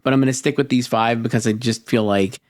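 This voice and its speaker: A man's voice: medium pitch, fairly even intonation. Tentative man chatting as co-host. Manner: hedging, tentative, halting conversational delivery. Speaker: podcast co-host. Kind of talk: casual film awards discussion.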